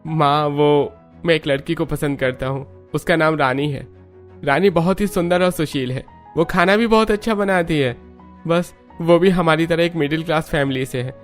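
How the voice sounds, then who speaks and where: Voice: mid-range voice, normal speed, soft background music, natural voice. An actor voicing an eager, polite young man, the youngest son, in a cartoon family story, respectful and earnest. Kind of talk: animated family story dialogue.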